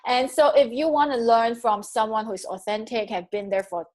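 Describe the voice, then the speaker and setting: A woman's voice: fairly high pitch, moderate pace, a little mellow. Upbeat Singaporean woman host. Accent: Singaporean English. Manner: enthusiastic, laughs often, restarts phrases. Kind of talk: interview chat.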